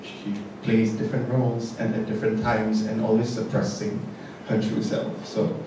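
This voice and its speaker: A man's voice: on the low side, flat delivery, moderate pace. Hesitant man in an echoey theatre. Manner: hesitant and reflective, many fillers and restarts. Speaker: theatre director. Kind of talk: post-show panel discussion. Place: large theatre stage. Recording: echoey theatre from a distance with noise.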